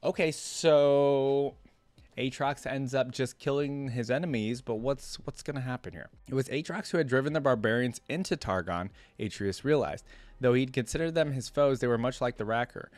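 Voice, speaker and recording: A man's voice: mid-range voice, normal speed, dry recording. Man doing casual reaction commentary, dry close sound. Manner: rambling, thinking out loud, repeats words. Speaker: reaction video host. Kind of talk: casual reaction commentary, reading lore aloud.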